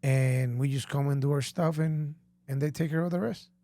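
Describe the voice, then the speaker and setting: A man with a Costa Rican Spanish accent, co-hosting a casual podcast chat in a relaxed way. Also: a little high, normal speed, no echo. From Costa Rica. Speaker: podcast co-host.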